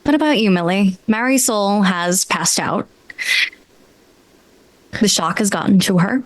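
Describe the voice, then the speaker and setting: A woman narrates as game master in a mid-pitched voice. She phrases deliberately and dramatically, building suspense, with no room echo around her. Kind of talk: dramatic improvised horror narration.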